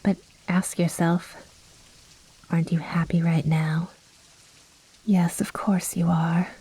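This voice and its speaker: A woman voicing a commanding, seductive vampire noblewoman in a soft, breathy, coaxing whisper for an ASMR roleplay. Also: on the low side, level tone, exaggerated voice, poor audio. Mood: coaxing, hypnotic. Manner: slow, coaxing, silkily condescending.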